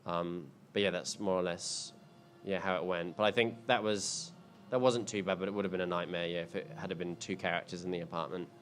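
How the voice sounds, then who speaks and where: A man's voice: deep voice, steady tone, a little fast, few pauses, slight background noise. A man in his thirties, a filmmaker answering audience questions in a casual, rambling way, full of ums and restarts. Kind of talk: casual panel Q&A. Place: indoor screening event.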